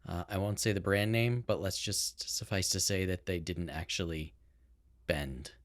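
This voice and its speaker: Low-voiced man chatting on a podcast. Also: steady tone, moderate pace, no echo. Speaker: podcast co-host. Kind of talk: casual podcast chat. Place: house room with improvised soundproofing. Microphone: own podcast microphone on a boom arm.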